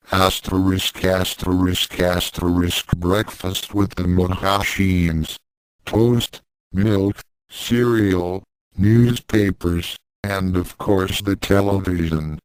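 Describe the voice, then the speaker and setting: Male story reader, very low voice, dry close sound, measured literal delivery of written fiction. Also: smooth, dry recording, rough recording. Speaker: story narrator. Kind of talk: reading fan fiction aloud, literal punctuation.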